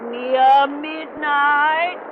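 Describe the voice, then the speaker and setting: A woman's voice: high-pitched, fairly even intonation, extremely slow. An actress playing a slyly gleeful, cackling old witch who hosts an old-time radio horror show. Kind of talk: 1930s radio horror hosting. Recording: muffled, noisy old radio recording.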